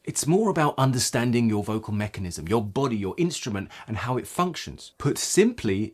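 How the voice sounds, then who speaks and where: A man presenting an instructional tutorial as a voice coach, explaining in an expressive, emphatic way. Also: medium pitch.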